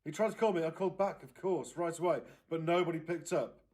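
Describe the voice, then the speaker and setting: A man plays a distraught aunt in a children's storybook. The voice is lifted well above the reader's usual pitch and comes out louder. The delivery is tearful, halting and emphatic. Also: lightly acted. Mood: anguished. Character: distraught, tearful aunt recounting a missed phone call.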